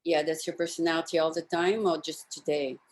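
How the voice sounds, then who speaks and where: A woman's voice: low voice, fairly even intonation, brisk, a little mellow. A woman chatting casually as an interviewer, asking questions and commenting on the answers.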